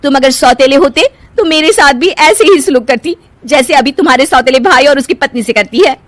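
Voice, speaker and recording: A woman's voice: high-pitched, animated, moderate pace, no echo, pitched above the performer's own voice. A woman voicing a spiteful, demanding young daughter-in-law in a cartoon family drama, bossy and whining. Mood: demanding, bitter.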